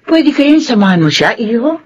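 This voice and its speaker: A woman's voice: a little high, big pitch swings, moderate pace, breathy edge, dull audio. A woman playing a friendly middle-aged mother and aunt in a Filipino radio drama. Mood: cordial.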